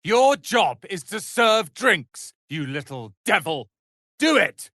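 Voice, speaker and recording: A man voicing a proud, sharp-tongued young tiefling wizard's apprentice in a video game, with haughty, theatrical, biting delivery. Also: high-pitched, big pitch swings, takes it slowly, dry recording, studio quality, heavily acted. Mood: angry, indignant. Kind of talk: dramatic video game voice acting.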